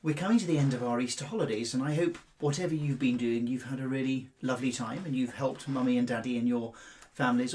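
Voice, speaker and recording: A middle-aged man with a British accent, speaking as a headmaster to children in an expressive, carefully phrased storytelling style. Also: fairly high pitch, a little hiss or hum. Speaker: school headmaster reading to pupils.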